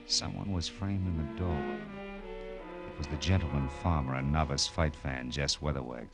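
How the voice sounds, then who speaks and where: A man's voice: very deep voice, normal speed, gravelly. An actor playing a tough, wry private detective in a 1940s radio drama, clipped and sardonic, slinging slang as he talks and narrates. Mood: tense, narrating. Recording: vintage radio broadcast with faint music.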